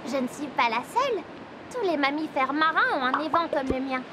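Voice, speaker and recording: Cheerful high-pitched cartoon whale. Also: female voice, very animated, normal speed, background noise. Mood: friendly, cheerful. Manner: cheerful, explanatory. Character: friendly female blue whale, eager to explain herself. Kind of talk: children's cartoon acting.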